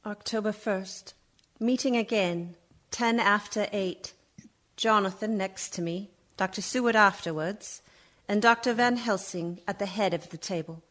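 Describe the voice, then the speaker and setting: A female actor as an earnest young wife narrating her written record in a radio play. Her voice is medium in pitch. She speaks precisely and formally, with steady composure. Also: measured pace, close to an everyday voice. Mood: composed, resolute. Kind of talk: scripted radio drama narration.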